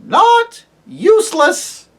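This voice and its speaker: A reader voicing a flustered owl courtier who hoots and shouts with strain, in a storybook reading. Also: very high-pitched, wildly swooping pitch, extremely slow, voice pushed up, exaggerated voice. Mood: shouting, flustered.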